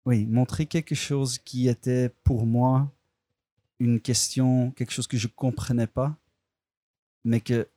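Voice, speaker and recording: A man's voice: medium pitch, fairly even intonation, takes it slowly, warm tone, no room sound. A filmmaker, a man speaking a language that is not his first, answers interview questions hesitantly, restarting and searching for words. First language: not French.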